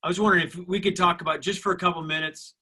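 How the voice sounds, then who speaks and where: A man's voice: high voice, fairly even intonation, rapid-fire, bright, forceful tone, husky. A man hosting an interview, asking loose, rambling questions in a casual tone. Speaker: interviewer, host.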